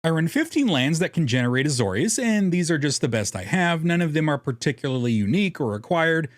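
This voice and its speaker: A man's voice: fairly high pitch, very animated, fairly quick, no room sound, clean studio sound. A man narrating a scripted strategy guide in a jokey, irreverent way, casually swearing as host. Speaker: host of a card game deck guide.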